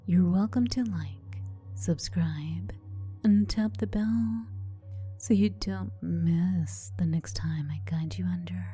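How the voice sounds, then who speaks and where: Very breathy, slow whispering woman. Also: on the low side. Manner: slow, gentle, coaxing, teasing guidance. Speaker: sleep hypnosis host and relaxation specialist. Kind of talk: whispered ASMR sleep hypnosis. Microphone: close to the mouth. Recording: close whisper over faint music. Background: soft background music.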